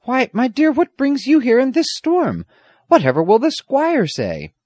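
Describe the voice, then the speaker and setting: A man voicing a warm-hearted, concerned young fisherman lad in an audiobook. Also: very high-pitched, wildly swooping pitch, unhurried, dry recording, pitched above the performer's own voice, heavily acted. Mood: astonished concern. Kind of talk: audiobook character acting.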